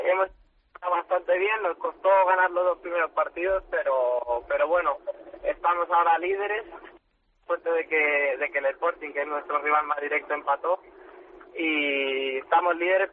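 A young Spanish man in his twenties, a futsal player giving a modest, relaxed phone interview with frequent restarts. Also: on the high side, normal speed. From Spain. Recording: tinny phone line with faint noise.

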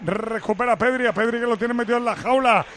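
Comentarista de fútbol agudo y enérgico. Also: male voice, extremely high pitch, fast talker, a little hiss or hum. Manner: lively, rising to shouts on attacking plays. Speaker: play-by-play commentator. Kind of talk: live radio football commentary.

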